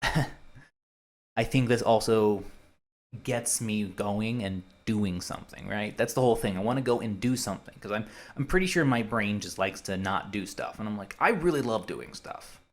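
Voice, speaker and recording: A young man hosting a solo podcast, rambling in a casual, hesitant way and thinking aloud with many pauses and restarts. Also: lowish voice.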